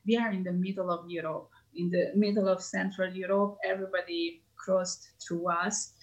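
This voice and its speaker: A woman's voice: lowish voice, fairly even intonation, normal speed, poor audio. A woman from Hungary with a Hungarian accent, a tour guide answering interview questions in a chatty way with many hesitations.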